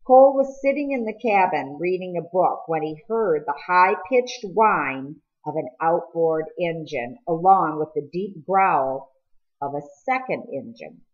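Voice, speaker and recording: Low-voiced woman reading aloud, muffled recording. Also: expressive, unhurried. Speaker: audiobook reader. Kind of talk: scripted storybook narration, read aloud.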